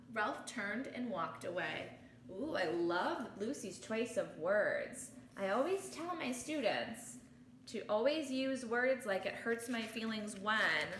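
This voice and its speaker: Bright-voiced woman reading a picture book aloud. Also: medium pitch. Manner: expressive, explanatory, addressing children. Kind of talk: children's picture book read-aloud with commentary. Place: small living room, indoors. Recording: roomy home recording with background noise.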